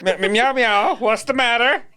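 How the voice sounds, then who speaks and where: A woman voicing an awkward, polite young gentleman cat, hesitant and stammering, with meows between phrases. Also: fairly high pitch, moderate pace. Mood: polite, stiff.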